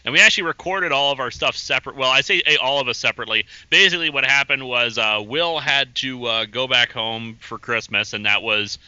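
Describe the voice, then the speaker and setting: A man's voice: medium pitch, brisk, hardly any pauses, pushed and bright, smooth, no echo. A man talking as a podcast guest in a rambling, casual way, chuckling between thoughts. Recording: dull lo-fi podcast audio.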